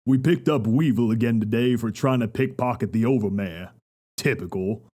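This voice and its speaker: A man's voice: mid-range voice, normal speed, warm tone, natural voice. A man playing a weary, authoritative pony security officer in an audio drama, speaking tersely and in a commanding way.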